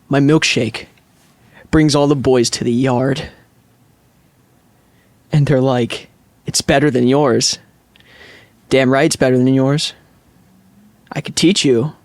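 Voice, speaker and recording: An overdramatic reciter played by a man delivers flirty pop lyrics on a comedy podcast. The delivery is slow, deliberate and theatrical, with a dry sound. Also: medium pitch, no room sound, natural voice. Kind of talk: mock dramatic reading.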